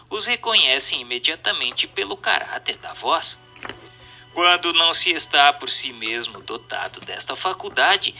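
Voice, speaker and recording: A man's voice: fairly high pitch, pushed and bright. A man with a Brazilian Portuguese accent reading a book aloud as scripted narration, in a formal, didactic manner. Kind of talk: scripted audiobook-style narration.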